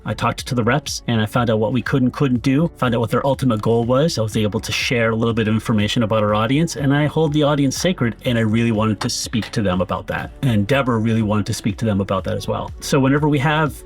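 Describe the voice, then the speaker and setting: A man's voice: mid-range voice, fast, few pauses, soft background music. A man speaking as a podcast guest, giving conversational advice.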